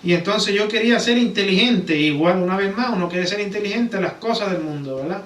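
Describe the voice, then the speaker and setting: A man's voice: high-pitched, brisk, a bit bright. A man with a Puerto Rican accent preaching conversationally in a church, often checking for agreement with rhetorical questions. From Puerto Rico. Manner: repeatedly seeks agreement with rhetorical tag questions. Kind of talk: conversational sermon. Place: church sanctuary. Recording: roomy, distant mic, background noise.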